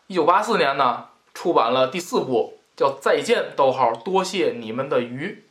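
A man's voice: on the high side, lively intonation, normal speed, fairly bright tone. A man co-hosting a podcast, presenting information in an orderly way. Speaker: podcast co-host.

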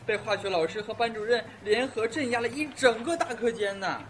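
A man's voice: high voice, fairly quick, close to an everyday voice. Vain, woeful schoolboy male actor, self-pitying complaints, faint noisy ambience, radio drama.

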